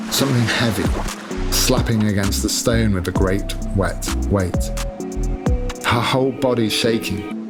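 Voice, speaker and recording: A man with a fairly low voice narrates a piece of literary fiction. His phrasing is measured and deliberate, and music plays clearly behind him. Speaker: author reading own novel excerpt. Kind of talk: scripted literary narration over sound design. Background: atmospheric music.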